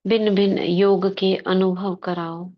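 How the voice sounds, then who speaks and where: A woman leading a guided spiritual meditation, speaking in short, measured devotional phrases with pauses between them. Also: mid-range voice, flat delivery, highs cut off. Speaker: meditation leader.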